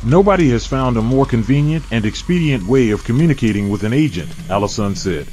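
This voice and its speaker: A man's voice: mid-range voice, expressive, brisk, warm tone. Male narrator for eerie documentary, mid-range voice, scripted read, light background noise.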